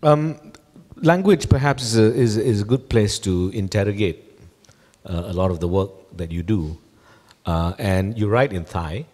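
Guy moderating a panel, deepish voice, handheld mic, echoey hall. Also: a little slow, darkish tone. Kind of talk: interviewing on a public panel. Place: indoor gallery talk on a small stage. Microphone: handheld microphone. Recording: reverberant hall sound.

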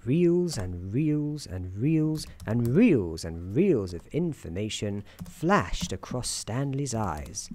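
A soft-spoken woman narrating an ASMR story in a deliberate, wry storybook manner, with keyboard typing behind her. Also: extremely low pitch, big pitch swings. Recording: constant keyboard typing and clicking noise. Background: keyboard typing and tapping.

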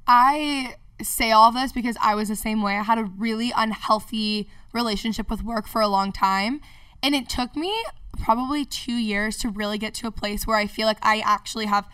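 A woman of twenty-three hosting a podcast, chatty and bubbly, quick to agree and cheer her guest on. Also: a little high, fairly even intonation, a bit bright, clean tone, no room sound, recorded from a distance. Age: 20s. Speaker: podcast host. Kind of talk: casual interview chat. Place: podcast studio. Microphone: studio mic on stand, headphones.

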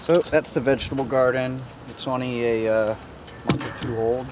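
A man casually showing viewers around his backyard garden outdoors, chatty and relaxed, joking with his animals. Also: mid-range voice, normal speed, over music. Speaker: gardener giving a backyard tour. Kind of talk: casual walk-through narration.

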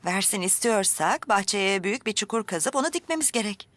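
A woman's voice: medium pitch, lively intonation, a little fast, fairly bright tone, dry recording, close to an everyday voice. An actress voicing a cheerful, patient mother in a dubbed cartoon, explaining things kindly to her child. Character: warm patient young mother. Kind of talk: cartoon dubbing.

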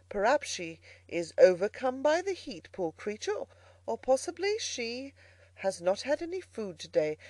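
A kindly gentleman motorist from a storybook, put on by a woman narrator. He is solicitous and proper, speaking with courteous emphasis. The sound is very dry, with no room around it. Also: lowish voice, dry recording. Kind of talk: storybook character voicing.

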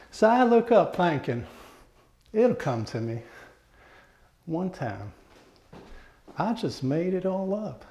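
Man putting on a slow, musing country storyteller. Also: fairly high pitch, creaky, a little mellow, exaggerated voice. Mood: wondering. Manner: simple, musing, unhurried folksy storytelling. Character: plain-spoken, wondering country man telling his story. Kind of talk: memorized dramatic monologue recital. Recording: roomy echoing live pickup, faint noise.

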